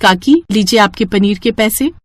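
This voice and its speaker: A woman's voice: medium pitch, normal speed, slight background noise, lightly acted. A woman voicing an earnest, grateful young village milk seller in a cartoon story, speaking respectfully.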